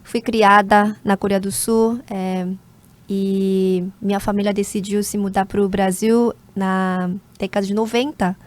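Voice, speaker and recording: A woman in her forties, born in South Korea, answers interview questions in an unhurried, considered way. She pauses often with small hesitations as she gathers her thoughts, speaking like a corporate lawyer. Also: medium pitch, little pitch movement. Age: 40s. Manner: measured, with frequent hesitation fillers. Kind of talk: conversational interview.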